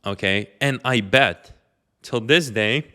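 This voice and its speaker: A man's voice: medium pitch, slow pace, bright, forceful tone. A young man, talking casually about his life and giving advice with many fillers. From Lithuania. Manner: conversational, loose with many fillers. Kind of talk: casual talk to camera.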